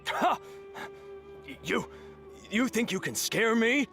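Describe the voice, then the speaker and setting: Shady game civilian: brash, combative, animated and gravelly, faint music underneath. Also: male voice, very high-pitched, slow pace, heavily acted. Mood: scoffing. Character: defiant shady male buyer, surly and argumentative.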